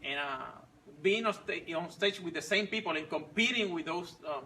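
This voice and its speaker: A man in his forties, not a native English speaker, telling his story slowly with many pauses and restarts. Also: fairly high pitch, bright, forceful tone, far from the mic, slight background noise, rough recording. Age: 40s. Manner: halting, many fillers and restarts. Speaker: fit club member sharing his story. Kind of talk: casual personal story.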